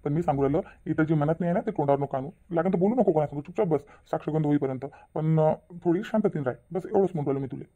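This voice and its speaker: A man's voice: a little high, racing, hardly any pauses. A man playing an exasperated husband who nags in a family comedy cartoon. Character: exasperated husband scolding his blunt wife. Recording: thin phone-like narrow audio.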